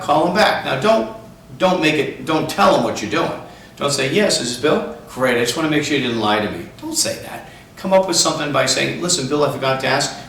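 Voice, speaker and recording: A man's voice: mid-range voice, a bit bright. A man working as a car sales trainer gives an instructional talk, explaining emphatically step by step. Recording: echoey room, distant mic, faint noise.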